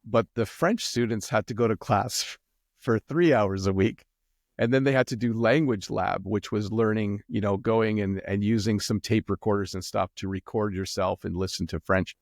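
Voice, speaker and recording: A middle-aged man with a Canadian accent talks in a relaxed, meandering way, stopping to restart his sentences. His voice sits fairly low, and the recording is close and dry with no room sound. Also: moderate pace, no echo. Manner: relaxed, rambling with many ums and restarts. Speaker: guest. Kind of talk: casual interview chat.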